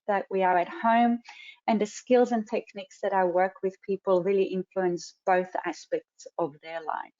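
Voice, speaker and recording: A woman in her forties with an Israeli accent hosts a webinar. She speaks in a measured way with frequent fillers. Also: medium pitch, a little mellow. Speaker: webinar host and career coach. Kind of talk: webinar introduction. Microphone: computer mic on a video call. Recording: slightly dull online audio.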